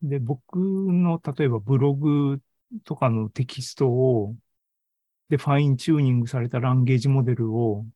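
Mellow-voiced man thinking aloud. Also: mid-range voice, moderate pace, dry recording. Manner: conversational, seeks agreement with frequent sentence-end tags. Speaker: presenter of an online AI seminar and podcast. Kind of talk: informal tech talk, thinking aloud. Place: indoors, live online video meeting.

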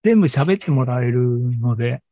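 A man's voice: mid-range voice, lively intonation, moderate pace, dark tone, no room sound. A man hosting a casual live online presentation, talking informally and thinking aloud as he goes. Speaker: podcast host and presenter. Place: live online video call.

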